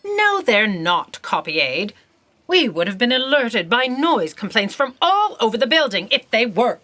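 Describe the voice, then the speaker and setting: Woman as a bright, swooping, imperious office boss. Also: on the high side, sing-song, measured pace, exaggerated voice. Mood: stern refusal. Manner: bossy, officious, exasperated. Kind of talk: comic audio drama acting.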